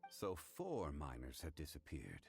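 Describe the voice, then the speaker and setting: An actor playing a calm, erudite gentleman in a video game, speaking in a measured, formal, scholarly way. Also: rumbling low, creaky, full character voice. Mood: pondering. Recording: music behind the voice.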